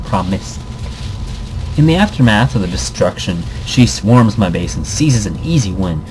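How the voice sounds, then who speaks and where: A man's voice: on the low side, sing-song, moderate pace, over music, poor audio. A man giving casual commentary and reading game text aloud, rambling and interrupting himself, with playful asides. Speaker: let's player reading a visual novel aloud. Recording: background noise behind the voice.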